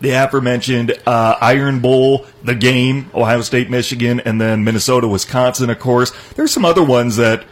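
A man hosting a sports talk show, running through college football games in a brisk, knowledgeable way. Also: mid-range voice. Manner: brisk and knowledgeable, runs the segment. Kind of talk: casual sports chat.

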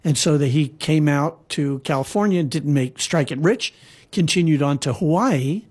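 A man's voice: a little high, moderate pace, a little mellow, breathy edge. A man telling historical stories in a casual conversation, rambling with frequent fillers and restarts. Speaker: guest storyteller. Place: lives in Hawaii.

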